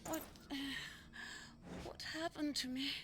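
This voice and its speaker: A woman playing a guilt-stricken young servant girl in a video game, confessing haltingly. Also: sits high, fairly even intonation, background noise. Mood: dazed. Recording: loud music behind the voice.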